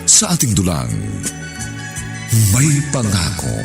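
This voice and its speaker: A man's voice: sits low, huge pitch swings, slow pace. A man announcing a radio drama in a theatrical, scripted style. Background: loud music.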